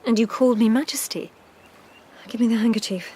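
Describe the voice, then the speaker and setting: An actress playing an exasperated, sharp-tongued lady-in-waiting in a radio drama, brusque and scornful. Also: on the high side, brisk, breathy edge. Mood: irritated, scornful.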